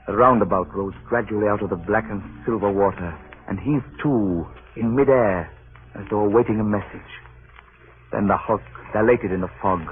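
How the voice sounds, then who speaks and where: An actor playing a stern, resolute freighter captain with formal diction in a 1930s radio drama. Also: male voice, fairly low pitch, lightly acted. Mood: solemn recollection. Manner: formal, clipped, commanding. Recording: narrow-band 1930s radio recording.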